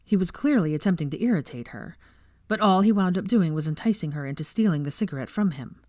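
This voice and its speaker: Female story narrator, low register, dry close recording, scripted fiction reading. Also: lively intonation, fast, darkish tone, dry recording.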